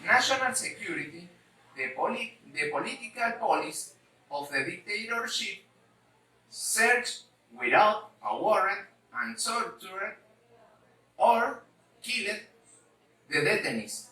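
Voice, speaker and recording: Slow, halting woman reading in echoey room. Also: mid-range voice, very animated, sharp tone. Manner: halting, repeats words, choppy phrasing. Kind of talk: scripted history presentation read aloud. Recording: echoey room, distant mic.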